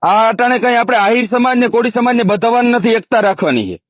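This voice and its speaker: A man's voice: very high-pitched, lively intonation, fast, no echo, clean studio sound. A man in a heated phone conversation, firmly admonishing the other party and repeating himself. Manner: firm, admonishing, repetitive. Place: recorded phone call.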